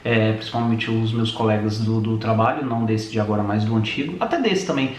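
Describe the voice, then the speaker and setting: A man's voice: on the low side, brisk. A young Brazilian man with a Brazilian Portuguese accent, co-hosting a casual vlog and explaining things with many restarts and fillers. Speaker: vlogger co-host. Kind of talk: casual chat. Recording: echoey room with faint noise.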